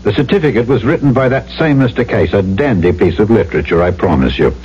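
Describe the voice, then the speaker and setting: A man acts a headstrong trader in an old radio play. His delivery swings widely, blunt and earnest, at a medium pitch. The sound is muffled with faint noise. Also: expressive, fast talker, darkish tone, close to an everyday voice. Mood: insistent. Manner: blunt, emphatic. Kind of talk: old-time radio drama acting.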